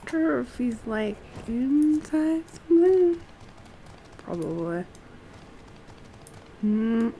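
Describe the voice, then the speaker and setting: A woman streaming a game, commenting casually and haltingly as she thinks aloud and murmurs to herself. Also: a little high, expressive, dark tone, a little hiss or hum. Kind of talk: casual live gameplay commentary, reading quest dialogue aloud.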